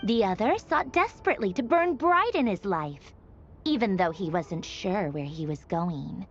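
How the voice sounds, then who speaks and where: A woman's voice: mid-range voice, lively intonation, moderate pace, lo-fi sound, noisy background, natural voice. A woman voicing a wry, aloof female storyteller in a video game, musing theatrically with a faintly mocking detachment. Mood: wistful, musing. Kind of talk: scripted dramatic video game narration. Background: background music.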